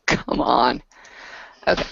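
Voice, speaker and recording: A woman playing a tabletop roleplaying game, chatting casually with a creaky voice. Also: extremely slow, fairly bright tone. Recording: close dull lo-fi recording.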